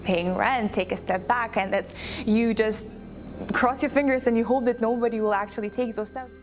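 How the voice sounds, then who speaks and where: A woman's voice: a little high, fairly even intonation, normal speed. A woman taking part in an experiment, speaking earnestly in an interview. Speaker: experiment participant. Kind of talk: interview reflection.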